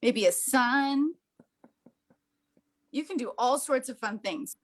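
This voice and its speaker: A woman's voice: high-pitched, takes it slowly. An enthusiastic, gushing woman giving a casual, hands-on art demonstration.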